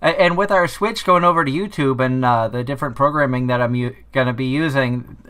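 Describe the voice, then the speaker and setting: A man's voice: mid-range voice, brisk, a bit bright. A man with a Boston accent hosting an anime radio show, chatting in a rambling way with many restarts. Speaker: podcast host. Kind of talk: casual chat.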